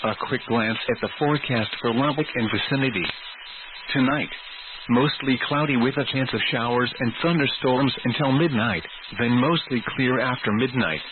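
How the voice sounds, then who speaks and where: A synthetic man's voice at an average pitch delivers formal weather alerts over a tinny, narrowband radio. The reading is measured and impersonal, like a scripted automated bulletin. Clear static noise runs along with the voice. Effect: synthesized text-to-speech voice.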